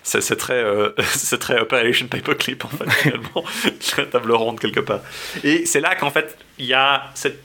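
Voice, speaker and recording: A man's voice: mid-range voice, normal speed, sharp tone. A man co-hosting a podcast, casually retelling a medieval romance with frequent fillers, restarts and laughter. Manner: summarizes loosely with many fillers and restarts, laughing often. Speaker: podcast co-host.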